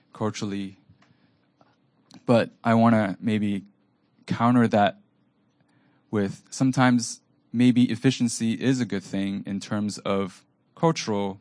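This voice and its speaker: Male moderator, first language Cantonese, low voice, unhurried and reflective, dry close mic. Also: dry recording. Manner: thoughtful, searching, many ums. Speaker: event moderator. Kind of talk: asking a long panel question.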